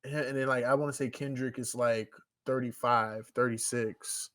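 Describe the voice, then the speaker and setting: A man's voice: mid-range voice, fairly even intonation, normal speed, a bit bright, no room sound, studio quality. A man in his twenties co-hosting a podcast, talking loosely and rambling in casual chat. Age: 20s. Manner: loose, rambling, leans on "you know what I'm saying". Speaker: podcast co-host.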